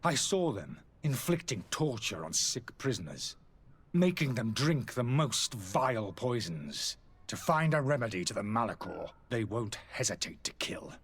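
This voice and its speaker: An actor playing a disgraced alchemist peddling potions in a video game, theatrical, aggrieved and protesting. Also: male voice, mid-range voice, very animated, a little slow, a bit bright, breathy edge, exaggerated voice. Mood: bitter.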